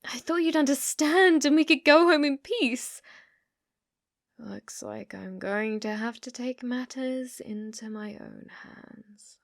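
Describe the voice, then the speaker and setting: A woman's voice: high voice, slow, gravelly, no echo, studio quality, full character voice. A British woman playing an obsessive, sweetly possessive young female stalker in an ASMR roleplay, coaxing and often dropping into whispers. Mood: insistent.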